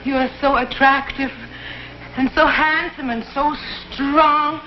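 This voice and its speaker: A woman's voice: high-pitched, fairly even intonation, slow pace, a little hiss or hum. A woman playing a bold, flirtatious wife in a 1960s TV comedy sketch, coquettish and emphatic. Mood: amorous. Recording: dull 1960s TV soundtrack, music, loud audience.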